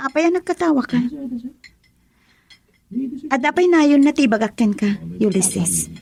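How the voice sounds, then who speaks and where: An actress with an Ilocano Filipino accent playing a frank, soft-hearted young woman in a radio drama. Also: fairly high pitch, huge pitch swings, slow, pauses often, exaggerated voice. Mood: shy, frank.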